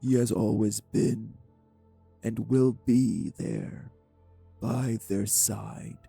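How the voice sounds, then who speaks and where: A man playing a cold, manipulative vampire villain in an audio roleplay, speaking with deliberate, condescending menace in a husky, gravelly voice. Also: on the low side, fairly even intonation, dark tone, faint music behind, exaggerated voice. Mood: condescending, cruel. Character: cold, manipulative male vampire taunting his captive. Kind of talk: dramatic audio roleplay acting.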